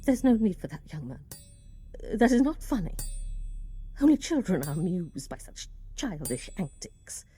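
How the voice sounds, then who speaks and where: A woman's voice: medium pitch, warm tone, husky, full character voice. A woman playing a prim older office worker, easily affronted, stiff and haughty, in a radio play.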